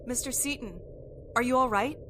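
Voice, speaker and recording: A woman's voice: on the high side, moderate pace, noisy background, lightly acted. A woman playing a stern, insistent doctor, urgent and authoritative, in an old-time radio drama. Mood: grave.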